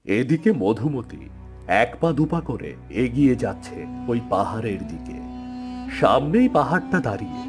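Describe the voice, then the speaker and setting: A man's voice: medium pitch, big pitch swings, moderate pace, music in the background. A man giving scripted narration for a fairy-tale cartoon.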